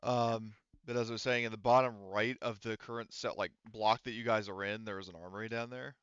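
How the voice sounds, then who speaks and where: A man with a smooth, fairly low voice runs a tabletop game as its game master. He talks casually and haltingly, working things out aloud. The sound is close and dry, though a little dull. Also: steady tone, a bit bright, dry recording. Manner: halting, thinking aloud mid-sentence.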